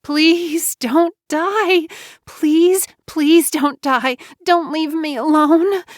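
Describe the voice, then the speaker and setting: A woman's voice: high-pitched, fairly even intonation, drawn-out words, no room sound, clean studio sound, voice pushed up. A woman voicing a distraught young woman who pleads desperately with a dying friend in an audiobook drama. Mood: anguished begging. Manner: pleading, anguished. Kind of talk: dramatic audiobook character acting.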